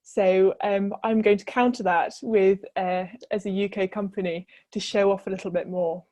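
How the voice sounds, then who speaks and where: A woman's voice: medium pitch, fairly even intonation, moderate pace, mellow. A woman from the UK speaking as a webinar panelist, hesitant and searching for her words.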